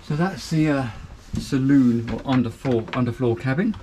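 A man's voice: medium pitch, normal speed, background noise. A man, giving an upbeat walkthrough tour of a small motor boat cabin, filmed on a handheld camera. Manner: chatty, upbeat, pointing out features as he moves. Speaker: boat reviewer.

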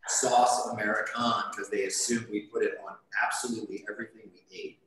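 A man cooking on a studio kitchen set, explaining each step in a relaxed, chatty way. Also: lowish voice, a little fast, crowd noise. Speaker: chef demonstrating a recipe. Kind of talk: live cooking demonstration. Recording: echoey kitchen with light background noise.

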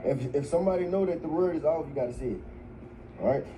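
A man's voice: fairly high pitch, quick pace, over a noisy crowd. Playful man running a vlog game. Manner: playful, teasing, loose banter. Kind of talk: casual group vlog. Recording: noisy played-back video audio.

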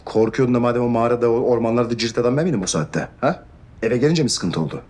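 A man's voice: mid-range voice, rapid-fire, darkish tone, lightly acted. An actor playing a short-tempered young police inspector who talks to his wife in clipped, scolding orders, in a TV drama. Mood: stern. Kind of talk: TV drama acting. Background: music score.

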